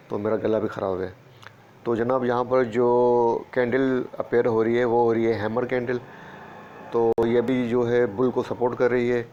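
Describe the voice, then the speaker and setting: A man talks through stock charts with a sore throat. His delivery is flat and monotone, and he repeats each price level. The recording sounds dull and lo-fi. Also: mid-range voice, a little hiss or hum. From Pakistan. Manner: steady, repeats price levels, calls listeners "janab". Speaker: stock market analyst. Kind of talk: screen-share chart walkthrough.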